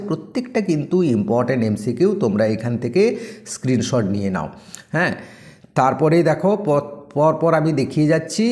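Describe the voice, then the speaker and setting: A man's voice: medium pitch, warm tone. A man with an Indian Bengali accent teaching exam tips in an informal, instructive way, repeating for emphasis and mixing in English terms. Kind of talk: informal exam-preparation tutorial. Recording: echoey room.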